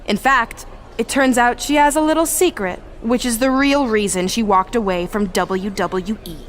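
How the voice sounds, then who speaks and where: An actress playing a vindictive young woman wrestler in a video game cutscene, taunting and theatrical, with drawn-out emphasis. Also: fairly high pitch, slight background noise, close to an everyday voice. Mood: smug, accusing.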